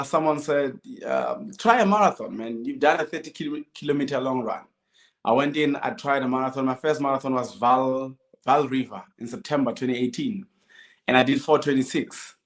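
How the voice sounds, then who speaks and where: A man in his thirties from South Africa, with a local accent and an average-pitched voice, tells his story as a guest. He speaks casually in short sentences, acting out bits of remembered dialogue. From Limpopo, South Africa. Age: 30s. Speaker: podcast guest, amateur runner.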